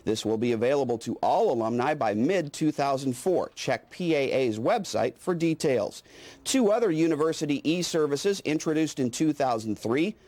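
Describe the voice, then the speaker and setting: A man's voice: fairly high pitch, animated, normal speed, a little mellow, dry recording. A man reading scripted newsreel narration as the narrator.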